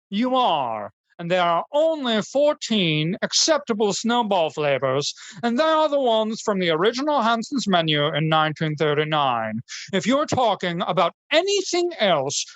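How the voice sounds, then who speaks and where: A woman playing a pompous, easily outraged male talk-show host in a comedy radio sketch, declaiming in archaic, highbrow phrases. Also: sing-song, unhurried, no echo. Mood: stern.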